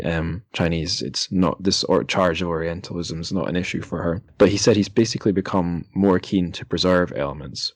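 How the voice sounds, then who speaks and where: A man with a low voice hosts a casual book discussion. He speaks hesitantly, rephrasing as he thinks his way toward each question. The sound is dry, with very little room to it. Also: no room sound. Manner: hesitant, self-correcting, musing. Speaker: podcast host. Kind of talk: casual literary interview.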